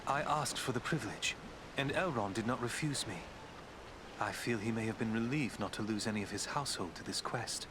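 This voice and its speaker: A man performs a noble elven prince for a fantasy video game. The voice sits at a medium pitch, composed and courtly, with formal, measured phrasing. There is clear ambient noise behind it. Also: natural voice. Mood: resolute, solemn. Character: male woodland elf prince, noble, composed, solemn.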